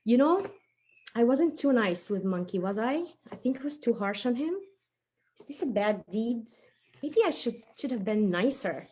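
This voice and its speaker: A woman's voice: on the high side, expressive, normal speed, dark tone, a little hiss or hum, natural voice. A woman voicing an eager, conscientious child puppet who thinks aloud and explains things simply in a children's story show. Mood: remorseful. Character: chatty, eager young child puppet, impatient but conscientious.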